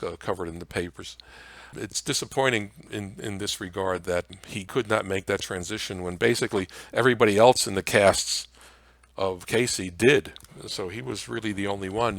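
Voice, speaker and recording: A man with a low voice gives an informal history lecture. He speaks haltingly, pausing to search for words, and the sound is dry and close. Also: a bit bright, no room sound. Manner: halting, frequent uhs. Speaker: presenter. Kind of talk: informal slide lecture on old-time radio history.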